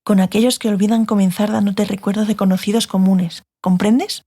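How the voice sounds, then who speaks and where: An actress playing a stern, sardonic sorceress, curt and authoritative, in a dramatized fantasy audiobook. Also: female voice, medium pitch, fairly even intonation, normal speed, dark tone, close to an everyday voice. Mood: confidential, wry. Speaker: audiobook actor.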